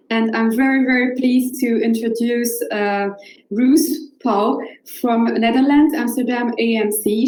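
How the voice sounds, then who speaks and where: A woman's voice: a little high, darkish tone, roomy sound, rough recording. A woman from Morocco, whose first language is Moroccan Arabic, moderating a live online panel with frequent hesitant fillers over a video call. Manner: hesitant, many filler uhs.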